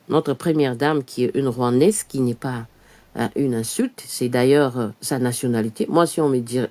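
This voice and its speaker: A woman's voice: extremely low pitch, darkish tone. Woman, live outdoor presenter; emphatic, rhetorical, filler-heavy. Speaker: online news presenter. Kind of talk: live commentary to camera. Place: outdoors in front of a church.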